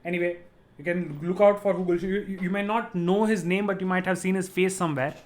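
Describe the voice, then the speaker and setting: A man's voice: high voice, steady tone, fast, echoey room, a little hiss or hum. A middle-aged man with an Indian English accent, a native Tamil speaker, running a live online quiz class. He repeats each question, explains terms patiently and laughs easily while answering students. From Tamil-speaking family, raised in Gujarat.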